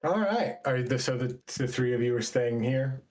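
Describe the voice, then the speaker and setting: A man working as game master, narrating a tabletop role-playing game in a halting, deliberate way. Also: mid-range voice, animated, crowd noise.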